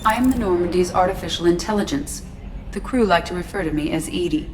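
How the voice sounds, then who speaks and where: An actress voicing a calm starship artificial intelligence who speaks in a measured, formal way. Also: female voice, on the low side, noisy background, close to an everyday voice. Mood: even. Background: game music score.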